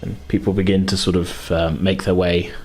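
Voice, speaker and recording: A man acting as game master, casually narrating a tabletop game in a halting way as he searches for words. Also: sits low, little pitch movement, a little mellow, faint music behind.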